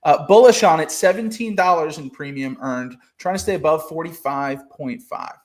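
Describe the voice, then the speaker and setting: A man's voice: a little high, moderate pace. A man hosting a casual trading podcast over a video call, rambling with lots of fillers and restarts. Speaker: podcast host.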